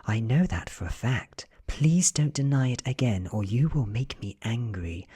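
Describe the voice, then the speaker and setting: A British man voicing a menacing ancient female vampire in an audiobook, speaking slowly and softly in calm, half-whispered threats. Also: medium pitch, husky, dry recording, clean studio sound, pitched above the performer's own voice. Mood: cold threatening.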